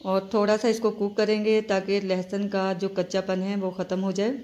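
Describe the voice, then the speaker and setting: Lady talking thru a recipe, even monotone, steady and patient. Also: medium pitch, moderate pace. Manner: steady, instructive, repeats steps. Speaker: cooking channel host. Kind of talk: step-by-step recipe narration.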